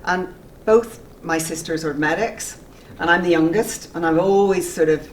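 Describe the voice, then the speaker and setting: A woman, chatting in a relaxed, measured way with frequent ums as an interviewee at a dental school. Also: fairly low pitch, distant mic, slight background noise, rough recording. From Belfast, Northern Ireland. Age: 40s.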